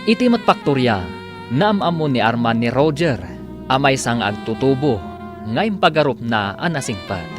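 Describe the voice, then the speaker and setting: A man's voice: medium pitch, big pitch swings, normal speed. A man with an Ilocano accent reading scripted dramatic narration as a radio serial's announcer. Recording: music bed behind the voice.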